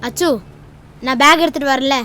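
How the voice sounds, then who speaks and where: High-voiced earnest cartoon schoolboy. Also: female voice, fairly even intonation, moderate pace, pushed and bright. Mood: mildly scolding. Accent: Indian Tamil. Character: studious, helpful, reproachful young schoolboy. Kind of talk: animated children's series acting.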